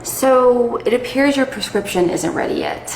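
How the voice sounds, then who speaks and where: A woman's voice: fairly high pitch, animated, moderate pace, vocal fry, a little mellow. Courteous, faintly smug pharmacy clerk, played by a woman, comedy sketch, roomy, slight noise. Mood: polite, unbothered. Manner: customer-service politeness. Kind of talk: POV comedy skit. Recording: roomy echo, background noise.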